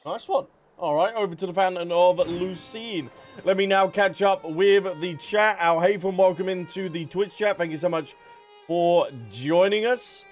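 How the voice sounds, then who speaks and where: A man's voice: high voice, moderate pace. A man giving casual, chatty livestream commentary over a video game, with jokey asides. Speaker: game streamer. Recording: game music behind voice.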